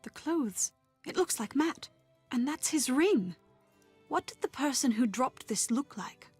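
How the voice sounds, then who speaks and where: An actress playing an earnest, trusting young bride-to-be in a video game. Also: female voice, sits high, lively intonation, a little slow, breathy edge, faint music behind, exaggerated voice. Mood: concerned, dismissive. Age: young adult.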